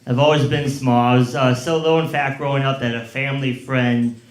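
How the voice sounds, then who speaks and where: A man in his twenties playing a self-deprecating, depressed young man in a naturalistic audio drama, speaking haltingly. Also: mid-range voice, steady tone, natural voice. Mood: self-deprecating joking. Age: 20s. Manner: hesitant, halting, with filler words. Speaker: stand-up comedian and patient in a scripted podcast. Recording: roomy, echoing sound.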